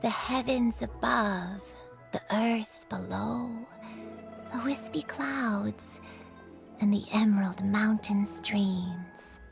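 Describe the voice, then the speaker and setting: A woman voicing a dreamy, forgetful old grandmother in a game, with a creaky, slow, wistful voice. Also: medium pitch. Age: older. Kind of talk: game voice acting. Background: game music.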